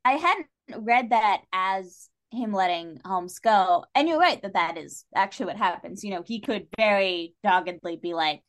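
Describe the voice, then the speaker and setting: Animated, informal woman chatting. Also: medium pitch, normal speed, few pauses. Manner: animated, informal, salty-tongued. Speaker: guest on a book discussion podcast. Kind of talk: casual chat, literary discussion.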